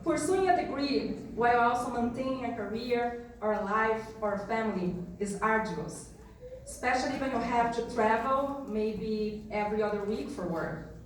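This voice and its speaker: A woman with a Brazilian accent, her first language Portuguese, delivers an earnest graduation address at a slightly raised pitch. She is heard from far back in a large hall, with her voice washing around in heavy reverb. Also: normal speed, slight background noise. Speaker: graduation student speaker. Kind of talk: prepared commencement speech. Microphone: PA heard from back of room.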